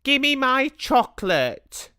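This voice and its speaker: Lady reading as a whiny greedy bratty kid, high and pushy, slow drawl. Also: big pitch swings, bright, forceful tone, clean tone, no room sound, clean studio sound, exaggerated voice. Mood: bratty demanding. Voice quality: whiny, demanding. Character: greedy, bratty, rude young boy.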